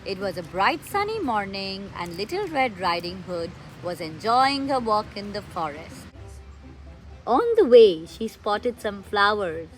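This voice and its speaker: A woman's voice: mid-range voice, expressive, unhurried. Woman narrating in an echoey room. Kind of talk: scripted children's story narration. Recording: echoey room with faint music.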